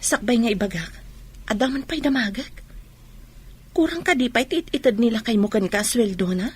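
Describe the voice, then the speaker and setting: A woman's voice: fairly high pitch, lively intonation, moderate pace, breathy edge. A woman playing a gossiping female friend in a radio drama, confiding rumors. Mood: gossipy.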